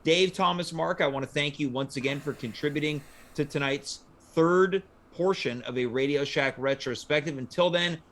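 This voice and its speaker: Male panel-show host, pitch a bit above average, showy and mock-formal in a casual setting. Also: normal speed, a bit bright, slight background noise.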